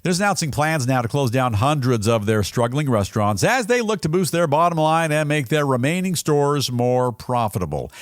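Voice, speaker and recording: A man's voice: fairly high pitch, no echo, studio quality. An American man hosting a jokey news roundup in a folksy, playful, theatrical way. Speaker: news show host.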